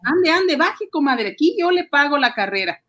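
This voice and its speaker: A woman's voice: high-pitched, very animated, normal speed, fairly bright tone. A woman with a Peruvian accent playing a fussy, affectionate housewife and mother in an amateur radio drama, coaxing and exclaiming. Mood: bustling. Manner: exclaiming, repetitive, coaxing, sighing. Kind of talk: amateur Spanish-language radio drama acting.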